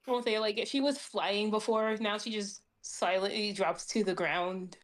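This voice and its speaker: A woman's voice: mid-range voice, steady tone, normal speed. A woman playing a tabletop roleplaying game, casually narrating her character's actions in a tentative, giggly manner.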